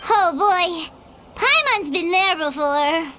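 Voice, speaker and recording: Tiny female companion creature, whiny, very high and bouncy, slow-spoken, game cutscene. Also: animated, background noise, heavily acted. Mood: excited. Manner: talks about herself in the third person, whiny. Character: tiny female floating companion, chatty and greedy.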